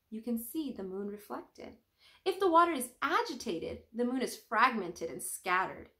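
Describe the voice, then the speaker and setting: A young woman reading a children's storybook aloud in an expressive, teacherly way with careful pauses. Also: a little high. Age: young adult.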